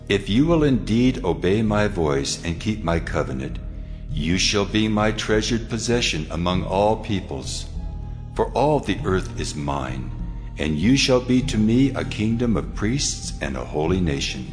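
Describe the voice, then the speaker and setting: Male actor as solemn commanding deity, very low voice, sweeping intonation, grave proclamations, scripture drama. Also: animated, unhurried, faint music behind.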